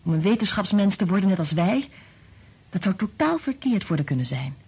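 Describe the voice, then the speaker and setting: A woman's voice: medium pitch, very animated, a little fast, darkish tone, husky, heavily acted. An actress playing a protective wife and mother in a radio play, earnest and reproachful.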